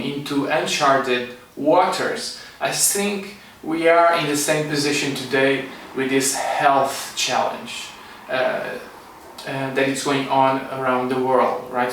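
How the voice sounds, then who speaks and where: A middle-aged man from Portugal with a Portuguese accent, giving an informal online class in halting, non-native English as an energy medicine teacher. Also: fairly high pitch, fairly bright tone. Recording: echoey room, distant microphone.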